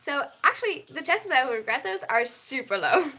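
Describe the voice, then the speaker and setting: A young adult, reading viewer chat aloud on a livestream and answering at length. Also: female voice, sits high, big pitch swings, a little fast, sharp tone. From Germany. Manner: reads viewer chat aloud and answers, tends to over-explain. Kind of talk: casual live-stream chat.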